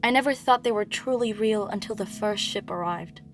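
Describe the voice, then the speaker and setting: A woman's voice: mid-range voice, moderate pace, soft background music, close to an everyday voice. A woman playing an anguished, haunted young princess of Greek myth in a theatrical audio play. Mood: wistful, haunted.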